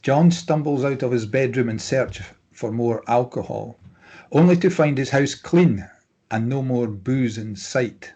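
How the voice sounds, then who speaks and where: A man narrates stage directions for a script table read. His voice is husky and somewhat rough, sitting at a middle pitch. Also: a little slow, dark tone.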